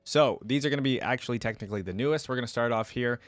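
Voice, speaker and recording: A man's voice: mid-range voice, rapid-fire, no room sound, soft background music. A man hosting a reaction video, commenting in a casual, chatty, informal way with frequent interjections.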